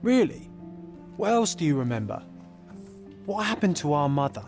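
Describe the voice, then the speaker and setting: An actor playing an eager, supportive young companion in a video game. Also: male voice, fairly high pitch, sing-song, moderate pace, darkish tone. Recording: music behind.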